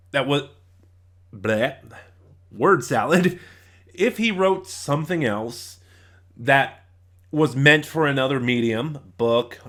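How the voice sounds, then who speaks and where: A man's voice: medium pitch, fairly bright tone. A man giving a casual, rambling review as a reviewer, opinionated but often hesitant and digressive.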